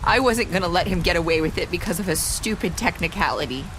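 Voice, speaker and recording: An actress playing a weary, sorrowful young woman in a video game drama, speaking in a halting, earnest, quietly intense way. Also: lowish voice, soft background music, close to an everyday voice, poor audio. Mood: defensive, guilty. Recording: steady rain noise behind the voice.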